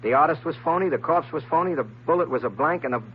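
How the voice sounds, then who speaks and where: A man's voice: fairly high pitch, animated, fast talker, slight background noise, close to an everyday voice. An American man playing a wisecracking, cynical private eye in an old-time radio drama, with a slangy, sardonic delivery. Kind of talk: old-time radio detective acting and narration. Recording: dull vintage radio recording with music.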